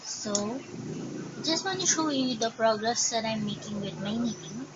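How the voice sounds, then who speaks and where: Slow, hesitant Filipino woman. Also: on the high side, lively intonation. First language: Tagalog. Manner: slow, hesitant, thinking aloud. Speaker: crafting vlogger. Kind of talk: casual show-and-tell. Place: home. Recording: dull lo-fi sound with background noise.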